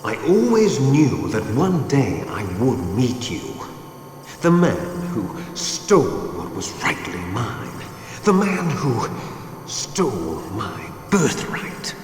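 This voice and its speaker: Bitter grandiose game villain boss, slow and brooding, swoopy voice, echoey. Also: male voice, medium pitch, huge pitch swings, a little hiss or hum. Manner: theatrical, brooding, deliberate. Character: grandiose, bitter male terrorist commander, villainous twin. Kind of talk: dramatic video game voice acting. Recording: echoing, long reverb, music behind.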